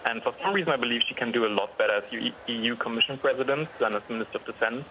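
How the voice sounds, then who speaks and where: A young man with a German accent phones in to a live news program. His voice is bright and forward, his delivery polite and measured, with some pauses as he thinks. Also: medium pitch, slight background noise, low-quality recording. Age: young adult. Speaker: caller.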